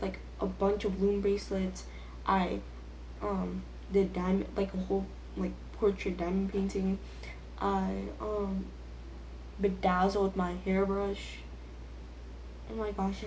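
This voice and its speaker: Teen female vlogger, soft-edged relaxed tone, self-deprecating off-the-cuff chatter, recorded in a reverberant room. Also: medium pitch. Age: teenager. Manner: self-deprecating, filler-heavy youthful talk. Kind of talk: casual rambling chat. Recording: echoey room with faint background noise.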